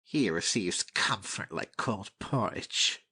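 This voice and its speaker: A cynical lord of a Shakespeare play, acted by a man. His voice sits a little low and moves at a leisurely pace. He sneers through dry, witty remarks. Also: animated, slow, sharp tone, husky, exaggerated voice. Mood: mocking. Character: mocking, cynical nobleman, idle wit. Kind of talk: dramatic Shakespeare audiobook reading.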